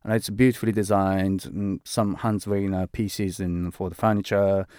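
A man speaking as a fashion director in a conversational interview, halting and full of "like" and "uh" fillers, often restarting words. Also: low voice, level tone, a little mellow, smooth, no room sound, studio quality.

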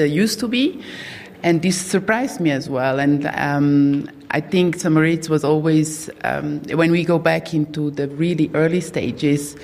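A woman's voice: sits low, a little mellow. A middle-aged woman from Croatia, speaking on a live panel about managing a destination brand, hesitant with many fillers and restarts. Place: hotel hall with audience. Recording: long reverb of a hotel hall.

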